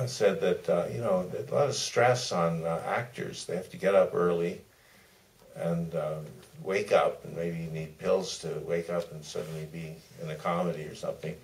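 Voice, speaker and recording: An older man answering off the cuff as a film director on a panel, in a hesitant, meandering way with pauses. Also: low voice, steady tone. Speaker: documentary director guest on a Q&A panel. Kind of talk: spontaneous panel discussion. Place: theater stage at a live Q&A. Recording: distant mic, faint room noise.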